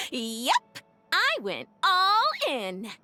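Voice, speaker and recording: A high, very animated young woman's voice with music underneath. She is a spirited, self-assured film director in a video game, breezy and theatrical, taking her time over each line. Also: extremely slow, full character voice. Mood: breezy confidence.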